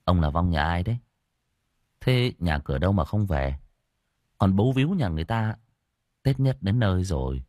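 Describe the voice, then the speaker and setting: A man's voice: low voice, sing-song, normal speed, long pauses, dark tone, dry recording, clean studio sound. A man voicing a blunt, bossy young spirit medium who scolds casually in a story. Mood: brisk, bossy.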